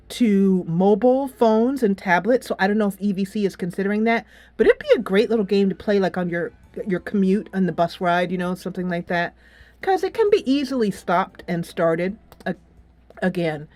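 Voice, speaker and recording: A woman's voice: medium pitch, darkish tone, no echo. A woman giving a casual, enthusiastic game review in a conversational, rambling way. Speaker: video game reviewer, channel host. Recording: music playing behind the voice. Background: game soundtrack music playing in background.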